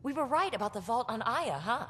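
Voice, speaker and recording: An eager female crewmate in a video game. She speaks informally and with enthusiasm at a middle pitch, in a reverberant space with faint ambient noise. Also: sing-song, brisk, fairly bright tone. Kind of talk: video game cutscene dialogue. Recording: echoey hall, background ambience noise.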